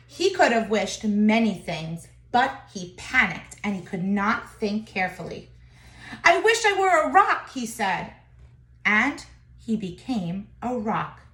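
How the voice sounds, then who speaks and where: A woman teacher reading a children's picture book aloud, with expressive, deliberate phrasing and emphasis. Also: on the high side, reverberant.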